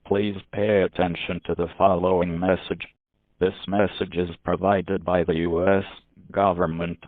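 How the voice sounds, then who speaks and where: A man reading a scripted emergency broadcast announcement in a formal, measured manner. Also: low voice, level tone, slight background noise. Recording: muffled, band-limited lo-fi audio.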